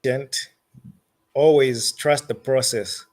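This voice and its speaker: A man with a Zambian accent answering interview questions as a musician, phrasing his thoughts deliberately and haltingly. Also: medium pitch, steady tone, a little mellow.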